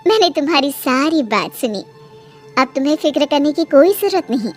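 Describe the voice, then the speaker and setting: A woman's voice: high-pitched, expressive, moderate pace, faint music behind. A woman voicing a kindly magical tree fairy, motherly and reassuring, in a cartoon story. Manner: gentle, reassuring, benevolent. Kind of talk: cartoon voice acting.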